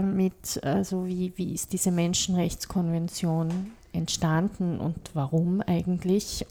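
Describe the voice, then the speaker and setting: A woman's voice: fairly low pitch, dark tone. A woman with an Austrian accent in a casual political discussion, critical and emphatic, often adding short tag questions. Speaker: podcast panelist.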